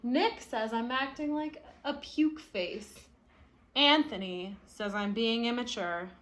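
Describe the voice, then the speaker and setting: A woman reading a children's picture book aloud expressively, with soft explanatory asides for young listeners. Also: fairly high pitch, slow pace. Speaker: read-aloud storyteller.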